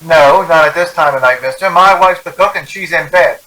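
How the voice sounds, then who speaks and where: A man playing a gruff, suspicious roadside shopkeeper in a radio drama, brusque and irritable with a late customer. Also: on the high side, moderate pace, pushed and bright, rough recording. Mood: dismissive. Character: gruff, suspicious married roadside stand keeper.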